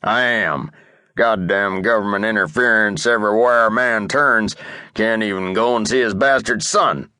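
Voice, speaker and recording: A man's voice: fairly low pitch, normal speed, pushed and bright, no room sound, studio quality. A man voicing a foul-mouthed, cantankerous old cowboy with a blustering drawl in an audiobook reading.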